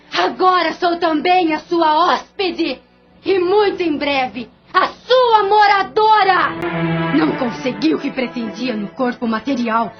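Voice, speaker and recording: A woman's voice: high-pitched, muffled recording, full character voice. A woman playing a vengeful female ghost. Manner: declamatory, venomous, threatening. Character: vengeful dead woman's spirit, bitter and scheming. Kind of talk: audio drama acting.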